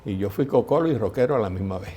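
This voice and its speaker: Husky older Puerto Rican guest, lively storyteller. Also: male voice, lowish voice, quick pace. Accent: Puerto Rican Spanish. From Puerto Rico. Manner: lively anecdotal storyteller, mimics voices in quotes. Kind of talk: storytelling interview.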